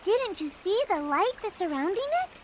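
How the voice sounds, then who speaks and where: A woman's voice: extremely high pitch, animated, normal speed, background noise. A woman voicing a tiny, upbeat creature companion who slips a stray "the" into her phrases, in a game cutscene. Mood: explaining. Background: game score music.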